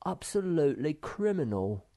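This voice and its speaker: A man's voice: a little high, very animated, moderate pace, warm tone, voice pushed up. A man voicing a young male troll in a storybook, earnest and eager.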